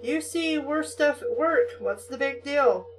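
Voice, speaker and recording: A sulky, defiant young boy from a storybook , read out by a woman. The voice is high and argumentative, in an unhurried rhythm, slightly off the mic. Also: animated, recorded from a distance, soft background music. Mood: whiny, defiant.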